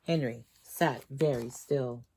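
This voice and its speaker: A woman storytime host reading a children's book aloud in an expressive, dramatic way that leans on key words. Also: very deep voice, takes it slowly, husky, low-quality recording.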